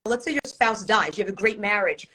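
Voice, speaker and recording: A woman's voice: medium pitch, fairly quick, bright, forceful tone, slightly rough. A middle-aged woman from Poland, whose first language is Polish, speaking as an attorney guest in a live-streamed interview, confident and storytelling, with rhetorical questions.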